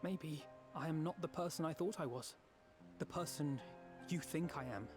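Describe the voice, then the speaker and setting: An actor playing a solemn, idealistic noble leader in a fantasy video game, speaking in a formal, eloquent way. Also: male voice, a little high, normal speed, close to an everyday voice. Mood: troubled, doubting. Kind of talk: dramatic video game voice acting. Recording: music behind the voice.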